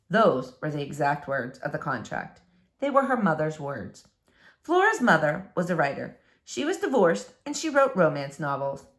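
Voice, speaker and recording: Very animated woman reading to children. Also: mid-range voice. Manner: addresses children, dramatic emphasis. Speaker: read-aloud storyteller for children. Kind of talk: expressive children's book narration.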